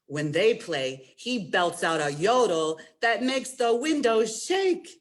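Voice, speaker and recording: A woman's voice: mid-range voice, big pitch swings, takes it slowly. A woman presenting a storytime as a children's librarian, talking directly to young listeners in an encouraging way and reading a picture book aloud.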